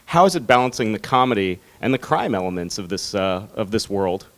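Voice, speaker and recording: A man's voice: on the low side, big pitch swings, brisk. A man working as an interviewer, asking questions in a conversational press interview style. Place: media room at a fan convention.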